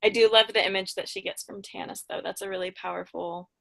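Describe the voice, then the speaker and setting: A woman's voice: medium pitch, fairly even intonation, fast, vocal fry. A woman with a mid-range voice chats informally as one of a podcast panel. Her delivery is conversational and unscripted. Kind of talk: casual group podcast chat.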